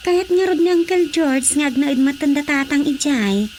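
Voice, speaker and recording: An actress playing a grown daughter who explains family news in a radio drama. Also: female voice, high-pitched, lively intonation, moderate pace, a little mellow, slight background noise. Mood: explaining.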